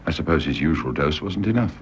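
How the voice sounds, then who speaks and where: A man's voice: extremely low pitch, lively intonation, fast talker. An actor playing a sober, matter-of-fact British professional man in a radio drama. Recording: muffled radio recording, clear background noise.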